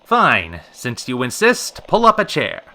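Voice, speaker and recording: An actor playing a sardonic, easily flustered 1930s radio call-in host with wry, old-fashioned slangy patter. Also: male voice, medium pitch, huge pitch swings, measured pace, bright, forceful tone, full character voice. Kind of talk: old-time radio drama acting.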